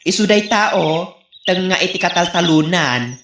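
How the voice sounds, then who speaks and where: A man's voice: high-pitched, animated, measured pace, heavily acted. A man with an Ilocano accent playing a matter-of-fact companion in a radio comedy drama. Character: plain-spoken male companion bringing a friend home. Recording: echoey, loud music underneath.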